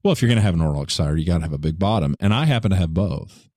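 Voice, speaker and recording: A man with a baritone voice talking casually about studio gear, joking and correcting himself, into a studio microphone in a home studio. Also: expressive, racing, darkish tone, no room sound. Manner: joking, rambling, self-correcting. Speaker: podcaster explaining studio gear. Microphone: studio microphone through hardware processor.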